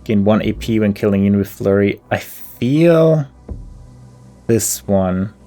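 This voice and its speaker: A man commentating his gameplay, thinking aloud as he weighs options, with pauses and fillers. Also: fairly low pitch, animated, mellow, faint music behind. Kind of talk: thinking aloud while playing.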